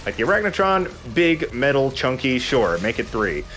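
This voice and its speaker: A man's voice: medium pitch, big pitch swings, normal speed, fairly bright tone, slight background noise. A man chatting casually as he gives commentary on a video game he is playing. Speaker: let's play gamer and commentator. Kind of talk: casual joking gameplay commentary. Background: faint game music.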